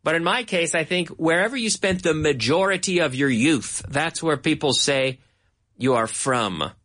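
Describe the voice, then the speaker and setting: A Canadian man teaching English in a patient, drilling way, saying each word several times. His voice sits a little higher than most men's. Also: a bit bright. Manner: slow, repeats words for drilling. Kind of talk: bilingual English lesson.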